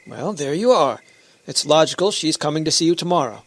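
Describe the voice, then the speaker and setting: A man's voice: a little high, lively intonation, brisk. A man acting the part of an anxious rocket captain in an earnest, dramatic old-time radio style. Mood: skeptical. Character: anxious male rocket captain stranded on Mars. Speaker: actor in amateur radio play.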